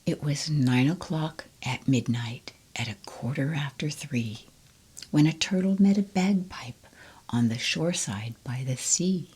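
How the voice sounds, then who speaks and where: A woman reading children's poems aloud in a soft, gentle whisper, close to the mic. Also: deep voice, a little slow, slightly rough. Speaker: storybook reader. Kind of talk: whispered ASMR poetry reading.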